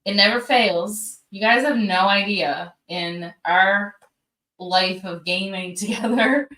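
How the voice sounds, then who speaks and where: A woman's voice: mid-range voice, slow pace, pushed and bright, echoey room, distant mic. A woman with an East Coast Canadian accent, chatting casually and poking fun at herself as a stream co-host. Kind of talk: casual chat.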